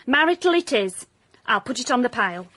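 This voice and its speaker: An actress playing a cheeky, blunt, indignant young detective's assistant in radio comedy drama. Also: female voice, fairly high pitch, sing-song, fairly quick, fairly bright tone, no room sound. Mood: deadpan, businesslike. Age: young adult.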